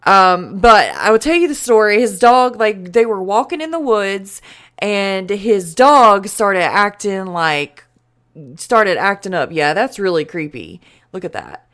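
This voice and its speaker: A woman's voice: mid-range voice, expressive, a little slow, fairly bright tone. A young adult woman hosting a reaction video, chatting casually and exclaiming as she watches, with frequent fillers.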